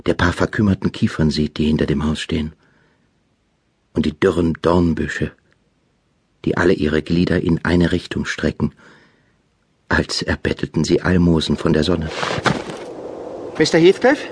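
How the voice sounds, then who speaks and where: An actor playing a polite, wordy young gentleman tenant who narrates and speaks with formal, elaborate courtesy in a radio drama. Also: low-pitched, husky, dry recording, clean studio sound, full character voice. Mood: courteous.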